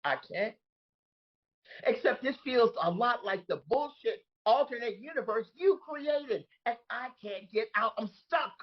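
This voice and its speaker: A woman playing an aggrieved, bewildered disgraced crony with a husky voice in a comedic table read. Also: on the high side, animated, measured pace, lo-fi sound. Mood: bewildered, distressed. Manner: indignant, emphatic, dramatic. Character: Rudy, aggrieved, bewildered disgraced man trapped in woman's body.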